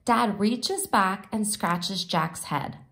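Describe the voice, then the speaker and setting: A woman reading a children's picture book aloud expressively, sometimes pausing to explain the pictures. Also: medium pitch, gravelly, a bit bright. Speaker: storybook reader.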